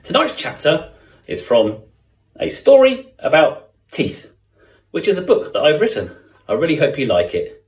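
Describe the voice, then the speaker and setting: Middle-aged man in echoey room, lively intonation. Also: on the high side, measured pace. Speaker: children's author and storyteller. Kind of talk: presenting to camera for children. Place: living room or bedroom at home. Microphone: camera a few steps away. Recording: echoey room, distant microphone.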